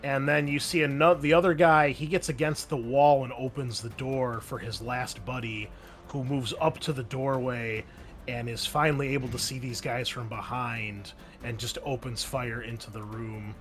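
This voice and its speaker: Young man, game master, rambling chatty narration, mid pitch, faint background music. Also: steady tone, normal speed, fairly bright tone. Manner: loose and chatty, many ums and uhs, swears casually. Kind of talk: narrating a tabletop game over voice chat.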